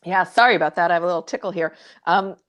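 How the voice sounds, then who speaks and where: A woman hosting a conversation casually, halting as she searches for her words. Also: fairly low pitch. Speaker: interviewer, host.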